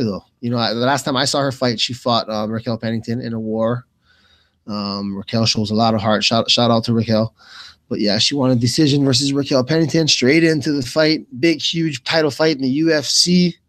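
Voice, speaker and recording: A man's voice: medium pitch, moderate pace, fairly bright tone. A man hosting live fight commentary in a loose, casual, rambling way, often repeating phrases as he thinks aloud. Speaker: radio play-by-play commentator and host.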